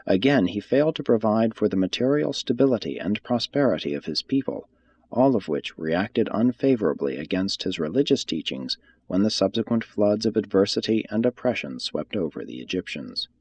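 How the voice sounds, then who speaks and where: A man reading a religious text aloud as scripted narration, in a measured, formal manner. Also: fairly low pitch, expressive, darkish tone, husky, no echo. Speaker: audiobook reader.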